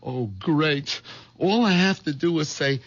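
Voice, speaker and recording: An actor playing a meek, henpecked husband with a nervous, stammering delivery in an old radio drama. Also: male voice, on the high side, a little slow. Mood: frantic, exasperated. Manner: stammering, flustered, whiny. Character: meek, henpecked husband, nervous bungling would-be wife killer. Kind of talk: 1970s radio drama acting with narration. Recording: dull vintage 1970s radio recording.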